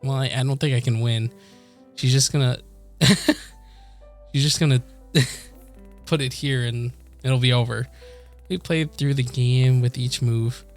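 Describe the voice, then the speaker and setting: Higher-pitched male streamer over game music. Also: fairly even intonation, unhurried, long pauses. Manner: chuckles, stumbles over words and corrects himself. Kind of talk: live game let's play, reading visual novel text aloud. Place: streaming setup indoors.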